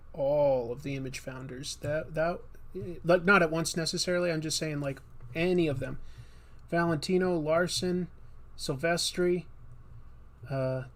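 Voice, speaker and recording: A man's voice: a little high, fairly even intonation, a little slow, long pauses. A man hosting a casual comics livestream while he draws, chatty and rambling, with a slightly nasal voice. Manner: rambling, chatty, with many fillers and restarts. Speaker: livestream host and comic artist.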